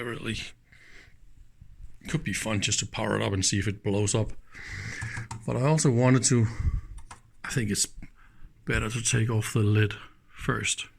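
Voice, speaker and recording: A man doing casual, hands-on teardown commentary, thinking aloud and openly admiring the equipment. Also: medium pitch. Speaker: electronics teardown host.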